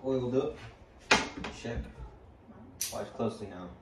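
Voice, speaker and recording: A man's voice: mid-range voice, animated, measured pace, distant mic, heavy background noise. A young man hosting a casual cooking vlog at home, playful and joking, talking to viewers in a kitchen. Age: young adult. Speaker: vlog host. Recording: echoey kitchen with loud sizzling.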